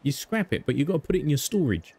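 A man chatting casually while playing a co-op game, with a soft, soothing voice and a joking manner. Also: on the high side, big pitch swings, fast talker, breathy edge. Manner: joking, mock-narrates his friend's life. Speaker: gamer co-op player. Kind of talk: casual gaming chat.

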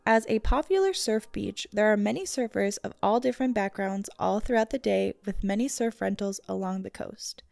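A woman's voice: medium pitch, moderate pace, gravelly, hardly any pauses, darkish tone, no echo. A woman reading a scripted travel guide narration for a vlog.

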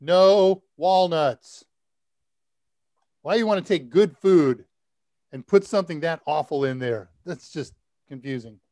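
An older man in his sixties hosting a live tech Q&A, talking casually and deliberately as he thinks aloud. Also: a little high, animated, darkish tone, no room sound, studio quality. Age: older, 60s. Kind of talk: casual technical advice. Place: indoor room, video call.

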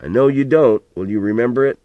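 Old rural grandpa, salty and wry, folksy drawl, pitched a bit higher than the narrator's own voice, dry close sound. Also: male voice, fast talker, dry recording, narrow, tinny audio, natural voice. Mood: probing. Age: older. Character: old country grandfather, coarse, wheezing, wry.

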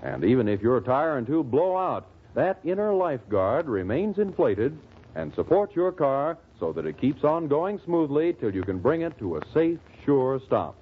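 A man working as a radio announcer, reading in an old-time sponsor-pitch style. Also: big pitch swings, moderate pace, slightly rough. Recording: tinny, band-limited old radio recording.

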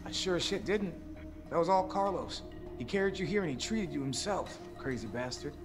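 A man's voice: fairly high pitch, lively intonation, a little fast, over music, natural voice. An actor playing a brash, foul-mouthed male survivor in a video game, blunt and sardonic. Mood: wry, urgent. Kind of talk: video game cutscene acting.

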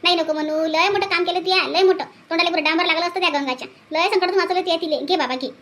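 A woman voicing a warm-hearted older cartoon village auntie, gushing and insistent, repeating herself in rustic Vidarbha Marathi. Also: very high voice, rapid-fire. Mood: coaxing, insistent. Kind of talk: comedy cartoon dialogue.

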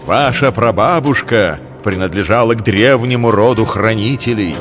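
A man's voice: lowish voice, moderate pace, natural voice. A man voicing a grave, ceremonious polar bear elder of an ancient brotherhood in a cartoon. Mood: grave, reverent. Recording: music under the voice.

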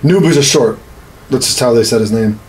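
A man's voice: medium pitch, fairly quick, slight background noise. A man in his thirties chatting casually and insistently as a co-host, swearing freely and arguing his point. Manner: foul-mouthed, insistent, argumentative. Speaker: podcast co-host. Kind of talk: casual chat about video games. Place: small indoor home studio room. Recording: roomy, echoing small room.

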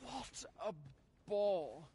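An aloof fantasy game onlooker, unimpressed and slow, with very lively pitch. There is clear noise on the audio. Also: female voice.

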